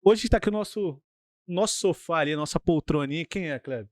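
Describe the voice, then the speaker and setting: Cheerful Brazilian male co-host, higher voice, dry close sound, eager and jokey. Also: brisk, dry recording. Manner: enthusiastic, laughing, teasing. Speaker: podcast co-host. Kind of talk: casual chat.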